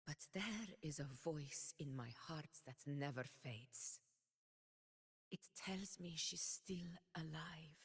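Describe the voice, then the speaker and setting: A woman voicing a proud noble former gladiator in a game, speaking in a measured, dignified way. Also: sits low, breathy edge, big-room sound, full character voice. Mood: wistful. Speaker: game character. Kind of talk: game character voice lines.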